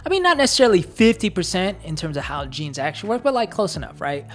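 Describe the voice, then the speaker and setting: Brief: deep-voiced US woman, reflective, filler-heavy casual podcast co-host. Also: sing-song, fast. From United States. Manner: reflective, talks in long searching sentences with many fillers. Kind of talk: casual chat.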